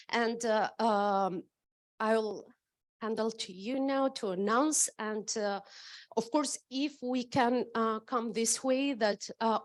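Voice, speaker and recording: A woman moderating an awards ceremony, announcing formally with many pauses and fillers. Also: medium pitch. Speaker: event moderator.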